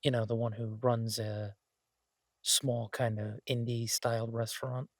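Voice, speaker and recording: A man playing an easygoing, affectionate chef in an intimate boyfriend roleplay audio, talking in a husky, halting, teasing way to a partner. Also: mid-range voice, flat delivery, dry recording, studio quality. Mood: casual, wistful. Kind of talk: intimate boyfriend roleplay audio, casual one-sided chat.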